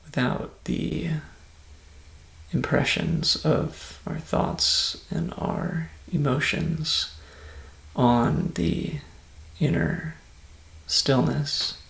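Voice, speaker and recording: A man leads a guided meditation in a very creaky, gravelly voice. He speaks softly and very slowly, soothing and close to a whisper at times. The voice sits in a roomy, echoing space. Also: a little high. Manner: soft-spoken, breathy near-whisper at times, calm and soothing.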